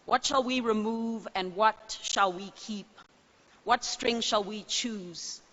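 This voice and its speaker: A woman from Botswana performing a spoken word poem in a slow, measured recital. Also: mid-range voice, bright, forceful tone, long reverb tail, rough recording. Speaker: poet.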